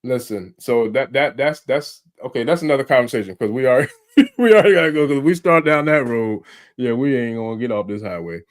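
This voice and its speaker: A man's voice: medium pitch, lively intonation, brisk. A man in casual chat, talking laid-back with slang and lots of fillers.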